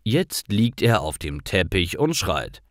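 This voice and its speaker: A man narrating simple scripted stories for language learners in a careful, expressive way. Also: deep voice, slow, no room sound, clean studio sound. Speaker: storyteller for German learners.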